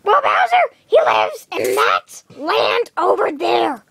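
A woman's voice: extremely high pitch, wildly swooping pitch, slow, creaky, fairly bright tone, raised voice, exaggerated voice. A woman voicing a small, squawky, matter-of-fact creature from a video game in an amateur skit. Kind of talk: improvised amateur role-play skit.

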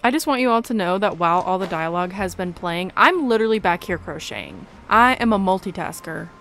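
A woman's voice: lowish voice, very animated, fairly quick, a bit bright, a little hiss or hum. A young woman giving casual, chatty gameplay commentary in an informal, self-amused way. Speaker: gaming YouTuber. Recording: game music under voice-over. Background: game music.

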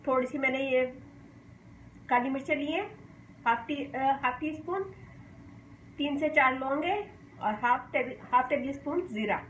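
A woman from Pakistan hosting a home cooking demonstration, calmly explaining each step and often inviting viewers to look. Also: high-pitched, measured pace, long pauses. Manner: calm, homely explaining, often saying "dekhiye ji". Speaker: cooking channel host. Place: home kitchen, indoors. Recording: echoey kitchen with faint hum.

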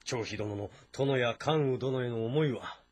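Husky, courteous strategist. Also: male voice, medium pitch, unhurried. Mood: pensive. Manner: polite, reflective. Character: thoughtful, courteous, weary male military strategist. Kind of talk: historical drama dialogue.

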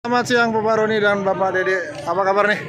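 A man reporting from a busy outdoor bazar, chatting with visitors and firing off quick questions, with an Indonesian accent. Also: high voice, fairly even intonation, a crowd in the background. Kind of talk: street interviewing.

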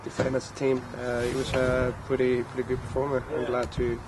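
Flat-voiced young footballer, halting speech. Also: male voice, mid-range voice, noisy background. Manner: halting, many fillers. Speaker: goalkeeper. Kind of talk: post-match press interview. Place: outdoor press area by a sponsor backdrop. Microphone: handheld recorders held close.

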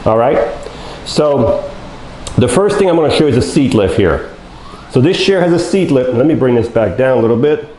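Older guy doing a wheelchair demo, mellow low voice going hoarse, echoey showroom. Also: expressive, moderate pace, a little hiss or hum. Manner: folksy, addresses viewers as folks, explains step by step. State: losing his voice, coughing. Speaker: mobility dealer demonstrating a wheelchair. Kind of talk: product demonstration talk. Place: large bare showroom studio, indoors. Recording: echoey room.

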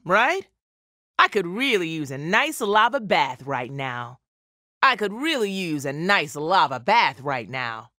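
A big brawny blacksmith lady from a cartoon game, brash n hearty, unhurried, close dry sound. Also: lowish voice, bright, forceful tone, dry recording, full character voice. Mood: brash, eager, then weary, gruff. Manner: punchy, folksy, playful swagger. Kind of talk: animated video game voice acting.

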